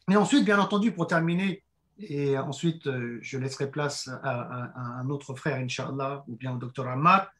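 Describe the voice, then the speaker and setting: A hoarse man giving an earnest fundraising presentation, halting with frequent fillers and restarts. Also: medium pitch, pushed and bright. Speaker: project presenter.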